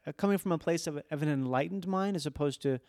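A man's voice: fairly high pitch, quick pace, darkish tone, no echo, clean studio sound. A man chatting casually as a podcast host, informal and reflective, swearing freely. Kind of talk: casual chat.

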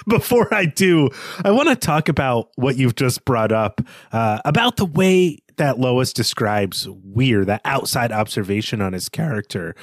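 A man's voice: mid-range voice, no room sound, clean studio sound. A man co-hosting a podcast, giving reflective opinions on literature in a conversational way. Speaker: podcast co-host.

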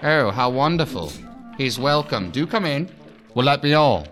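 A man's voice: mid-range voice, animated, moderate pace, a bit bright, a faint crowd, close to an everyday voice. A man playing a pompous, theatrical university professor in an audio drama, speaking in florid, lecturing phrases. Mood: effusive. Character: pompous, theatrical male university professor, cynical about humankind. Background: faint music.

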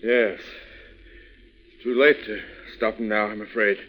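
A man's voice: on the low side, little pitch movement, measured pace, lo-fi sound, natural voice. An actor playing an anxious, scheming middle-aged father in crime, agitated and blaming, in 1940s radio style. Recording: noisy vintage radio recording.

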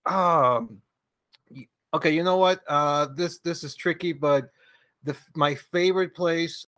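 A man's voice: fairly high pitch, unhurried, fairly bright tone, no echo. Laughing, rambling man, from Venezuela. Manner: rambling, laughs often, many restarts. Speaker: podcast guest, author. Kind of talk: casual conversational storytelling.

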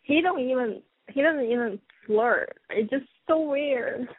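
A young woman in her twenties speaks in a slightly high, husky voice, shy and halting as she calls in to a radio show. Her voice is dry and close, squeezed through a tinny phone line. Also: no room sound. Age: 20s. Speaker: caller.

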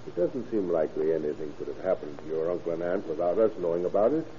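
An actor playing a firm, reasoning police detective in an old-time radio drama, on a muffled, hissy recording. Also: male voice, sits low, animated, fast talker, dark tone. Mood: firm, insistent.

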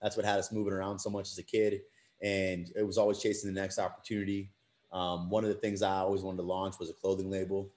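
Deep-voiced man, laughing easily. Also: level tone, fast talker, a little mellow. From western USA. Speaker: guest, business co-owner. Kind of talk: casual chat.

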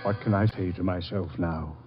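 Male actor for sorrowful older gentleman father in vintage radio melodrama, halting, dull-sounding recording. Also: low-pitched, fairly even intonation, over loud music, close to an everyday voice. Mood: broken. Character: anguished, pleading older father, genteel and defeated. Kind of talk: 1950 radio drama acting. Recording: muffled 1950 radio recording.

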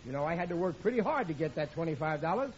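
A blustery, put-upon working-class American dad played by a male actor in a vintage radio comedy. His high-pitched voice swings wildly as he grumbles and exclaims. The old recording is muffled and noisy, with an audience behind him. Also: animated, rapid-fire, darkish tone, lightly acted. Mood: confiding. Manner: exclaiming, grumbling, colloquial bad grammar. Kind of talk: 1940s radio sitcom acting.

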